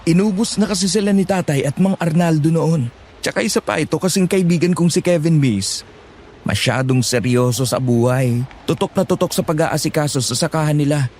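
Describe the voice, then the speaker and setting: A man's voice: a little high, a little fast, darkish tone, slight background noise, lightly acted. A man playing a talkative, teasing young villager who explains monster lore informally in a horror audio drama. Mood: eager, explaining.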